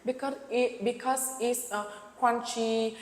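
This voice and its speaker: A young woman from Vietnam, a student speaking English with a Vietnamese accent, who hesitates and pauses with um and uh. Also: on the high side, fairly even intonation. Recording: echoey hall.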